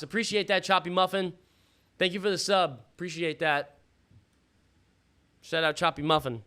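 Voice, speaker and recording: A man hosting a live fantasy football stream in a casual, slangy, sweary way, thinking out loud, his voice starting to give out. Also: a little high, smooth. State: losing his voice. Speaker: livestream host. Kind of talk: casual live fantasy football draft commentary with chat shout-outs.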